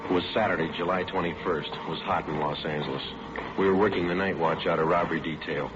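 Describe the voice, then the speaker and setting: Male actor as terse American police sergeant, low voice, deadpan delivery, vintage radio drama with clear background noise. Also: quick pace, lightly acted. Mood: matter-of-fact. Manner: clipped, deadpan, understated. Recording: muffled noisy vintage radio recording.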